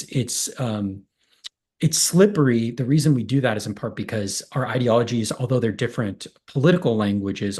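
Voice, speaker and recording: A man's voice: mid-range voice, a little mellow, slightly rough. A man in his thirties, a political science professor, explaining ideas at length in an interview over a video call from a small room, speaking through earphones with a cable mic. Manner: thoughtful, explanatory, builds long lists. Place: small home room with bare wall.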